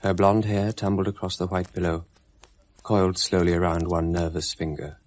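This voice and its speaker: A man narrating a 1970s radio drama in a measured, quiet storytelling manner. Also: deep voice, little pitch movement.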